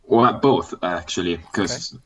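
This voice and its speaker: A man whose first language is Italian, chatting casually as a guest fan on a podcast, pausing often with "uh" fillers. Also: deep voice, animated, a crowd in the background, poor audio. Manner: hesitant, many "uh" fillers.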